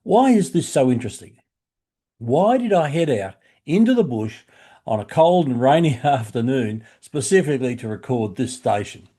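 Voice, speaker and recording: An Australian man narrates an educational explainer. His voice sits at an average pitch, relaxed in tone with a husky grain. He speaks as an instructor working through prepared material, setting up points with questions. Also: moderate pace. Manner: instructive, uses rhetorical questions. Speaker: presenter of a shortwave radio listening channel.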